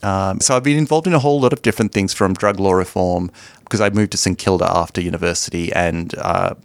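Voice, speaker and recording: Lowish-voiced, chatty man in a dry recording. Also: fast, no room sound. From Sri Lanka. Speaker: interview guest. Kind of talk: casual conversational interview.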